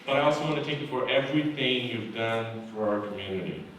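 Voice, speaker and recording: Man, earnest measured speech, middle pitch, booming hall echo, faint background noise. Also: little pitch movement, recorded from a distance. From Brazil. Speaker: award honoree. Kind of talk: acceptance speech. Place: large hall. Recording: very echoey hall, mic from afar.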